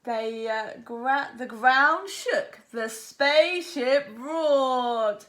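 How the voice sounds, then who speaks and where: A woman storyteller reads a children's picture book with a high, lively voice. She is expressive and dramatic, taking her time over the words. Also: drawn-out words. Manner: expressive, dramatic delivery with acted sound words. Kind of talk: animated read-aloud of a picture book.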